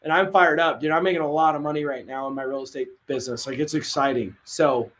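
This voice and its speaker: A man coaching on a live webinar, energetic and casual with slang. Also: on the high side, fast talker. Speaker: real estate coach.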